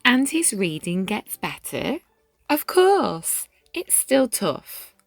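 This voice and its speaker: A woman reading a rhyming children's story aloud in an expressive, measured way, with dramatic pauses and hushed moments. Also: on the high side, gravelly, no echo. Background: background music.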